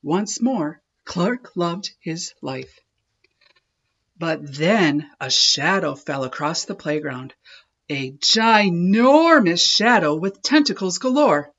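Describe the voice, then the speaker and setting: A woman reads a children's story at an unhurried pace. Her delivery is emphatic, with a lively, wide pitch range. The recording sounds slightly dull and lo-fi. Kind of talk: expressive children's read-aloud narration.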